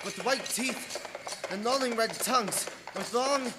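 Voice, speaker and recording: An actor playing an anxious English gentleman held captive, narrating his diary with earnest, suspenseful theatricality in a radio play. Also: very high voice, a little slow, noisy background. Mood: uneasy narration. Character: Jonathan Harker, anxious English gentleman, dread-filled captive diarist. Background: music.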